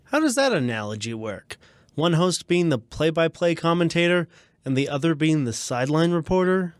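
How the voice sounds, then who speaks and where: A man's voice: fairly high pitch, very animated, normal speed, no room sound. A man co-hosting a radio show in scripted comedic banter, nitpicking and delivering dry quips.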